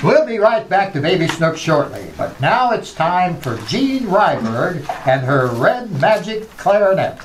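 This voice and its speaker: A man's voice: sits high, big pitch swings, unhurried, hardly any pauses, music in the background, poor audio. A man announcing and narrating an old-time radio comedy show from a script.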